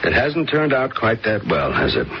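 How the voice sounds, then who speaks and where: A man's voice: lowish voice, big pitch swings, normal speed, slight background noise. An actor playing a spooked man who thinks he saw a ghost, in an old radio drama with dull lo-fi sound. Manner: uneasy, wondering. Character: spooked middle-aged man at a country house. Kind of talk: 1970s radio drama acting.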